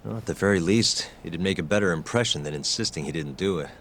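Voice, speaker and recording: An actor playing an earnest, determined young lawyer in a video game. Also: male voice, sits low, fast talker, fairly bright tone, a little hiss or hum.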